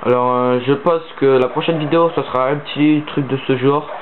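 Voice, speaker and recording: A man's voice: medium pitch, fairly even intonation, brisk. Stuffy-nosed teenage boy on a tinny mic. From southern France. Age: teens. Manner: rambling, hesitant with many fillers. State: stuffy-nosed from a cold, sniffling. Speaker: gaming channel host. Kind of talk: casual gaming commentary voice-over. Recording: tinny phone-like mic with faint hiss.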